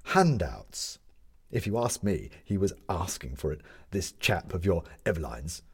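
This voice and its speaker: A man voicing a smug young gentleman who lectures dismissively over breakfast. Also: deep voice, big pitch swings, a little slow, vocal fry, slightly rough, exaggerated voice. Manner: dismissive, preachy.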